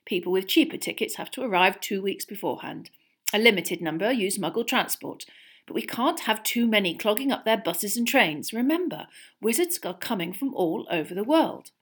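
A woman's voice: medium pitch, lively intonation, moderate pace, close to an everyday voice. A woman voicing a mild, earnest middle-aged wizard father in a storybook, explaining patiently with slight hesitation. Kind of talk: acted audiobook dialogue.

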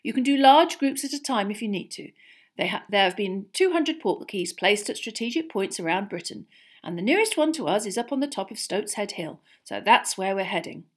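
A woman as an earnest, lively wizard dad. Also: medium pitch, fairly quick, lightly acted. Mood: explaining. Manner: explanatory, slightly hesitant. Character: earnest, mild middle-aged wizard father explaining patiently. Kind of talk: acted audiobook dialogue.

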